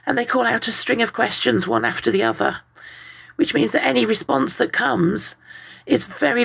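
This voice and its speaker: A woman with a croaky, hoarse voice from a summer cold, patiently explaining ghost-hunting methods to listeners. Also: mid-range voice. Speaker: guest presenter on ghosts. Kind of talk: solo talk to listeners.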